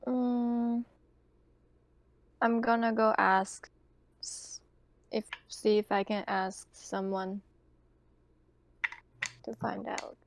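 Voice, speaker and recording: A woman's voice: fairly high pitch, level tone, very slow, creaky, long pauses. A woman chatting casually as a player in a tabletop roleplaying game, speaking in character in her everyday way.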